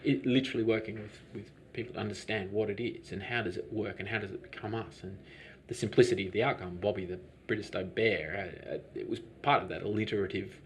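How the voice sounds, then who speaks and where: A man's voice: lowish voice, fairly even intonation, fast, slight background noise. A man, a business owner, talking through his story in a rambling, hesitant way, with many restarts and fillers. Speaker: lavender farm business owner. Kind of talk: conversational interview answers.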